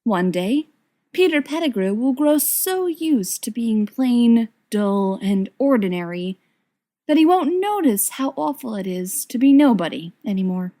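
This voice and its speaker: A woman's voice: on the high side, sing-song, unhurried, mellow. A woman narrating a written story expressively, with wry, dramatic emphasis. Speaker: audiobook reader of fan fiction.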